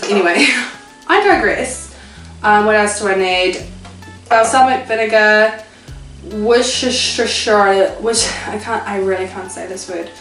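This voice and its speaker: A woman's voice: medium pitch, fairly bright tone, far from the mic. Chatty New Zealand woman in a roomy kitchen. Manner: chatty, self-mocking asides to viewers. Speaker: vlogger. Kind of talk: casual cooking vlog. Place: home kitchen.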